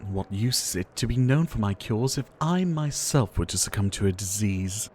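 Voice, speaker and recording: A man playing a gentle, ailing pharmacist in an ASMR roleplay, soft-spoken and courteous, with wistful, theatrical phrasing. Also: lowish voice, expressive, normal speed, soft background music, natural voice. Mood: resolute. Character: gentle, ailing male pharmacist, courteous, earnest, devoted healer. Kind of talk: ASMR roleplay addressed to the listener.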